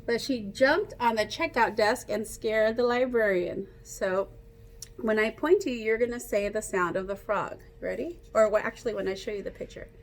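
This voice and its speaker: A woman reading a picture book aloud like a teacher, pausing to explain and ask young children questions. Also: medium pitch. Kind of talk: interactive children's read-aloud.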